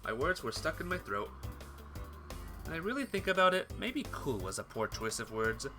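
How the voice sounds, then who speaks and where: Animated man reading a visual novel aloud. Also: fairly high pitch, normal speed, music in the background. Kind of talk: expressive read-aloud of game text.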